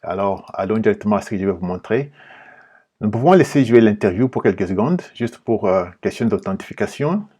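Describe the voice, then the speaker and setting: A man with a Congolese French accent giving informal political commentary in a halting, repetitive, explanatory way. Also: on the low side, lively intonation, dark tone, slightly rough. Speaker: political commentator and video host.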